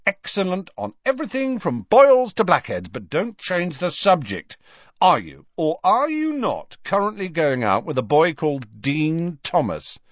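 Storybook role: brash young male joke-shop salesman, played by a man, cheeky and enthusiastic, pitched high and hugely animated. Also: a little slow, no room sound, dull audio, exaggerated voice. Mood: stern, brotherly. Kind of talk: audiobook character voice.

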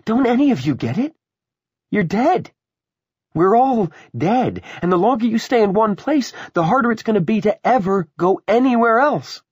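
A man's voice: high-pitched, very animated, normal speed, darkish tone, husky, no echo, dull audio, pitched above the performer's own voice, heavily acted. A man voicing a fierce, impassioned young dead woman in an audiobook, emphatic and pleading. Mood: angry, urgent.

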